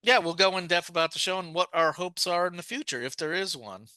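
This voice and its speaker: A man with a slightly high, very bright voice co-hosts a casual discussion. His tone is crisp and closely recorded. He often stops midway and rephrases himself. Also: fairly quick, no echo. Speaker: podcast co-host.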